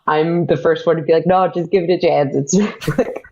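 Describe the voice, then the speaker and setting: A young woman talks as an interview guest, laughing often and drifting into fillers. Her voice has a gravelly, fry-edged texture, and the sound is dull and lo-fi. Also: low-pitched, fairly even intonation, moderate pace, a little mellow. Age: young adult. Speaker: guest, audio drama podcast creator and actor. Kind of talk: casual interview chat.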